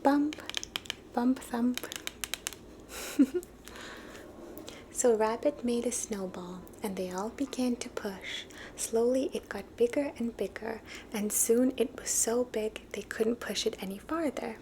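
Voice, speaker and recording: A woman reading children's stories aloud in a soft, unvoiced ASMR whisper. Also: a little high, unhurried, few pauses, a little hiss or hum, rough recording. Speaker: storybook reader.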